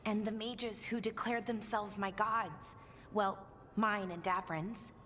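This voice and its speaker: A woman playing a candid, earnest elven adventurer in a video game, talking in an expressive, conversational way. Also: medium pitch, slow, a little hiss or hum. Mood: wry. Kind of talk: naturalistic video game dialogue. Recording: faint music behind the voice.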